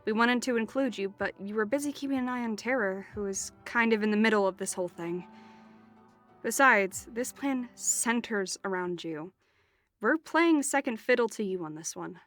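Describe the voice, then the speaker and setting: Loyal young female strategist played by a woman, mid-range voice, fantasy audio drama, soft music behind. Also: mid-range voice, moderate pace, close to an everyday voice. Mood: serious, reassuring. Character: loyal, decisive young woman, hero's best friend and planner. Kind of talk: fantasy audio roleplay acting.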